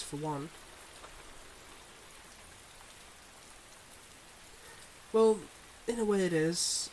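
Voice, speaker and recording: A suave, secretive mob boss played by a man talks to his partner in an intimate ASMR roleplay. His voice sits a little high, with a smooth, confiding, teasing manner. Light rain noise runs behind him. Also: close to an everyday voice, rough recording. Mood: earnest, guarded. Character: suave, secretive young male mob boss, devoted online boyfriend.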